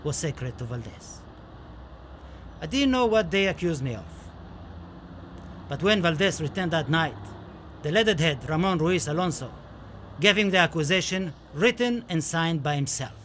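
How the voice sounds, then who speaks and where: An actor playing an impassioned, lyrical Spanish poet held prisoner, declaiming dramatically in a theatrical radio play. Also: male voice, fairly high pitch, fairly bright tone, a little hiss or hum. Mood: indignant, mocking.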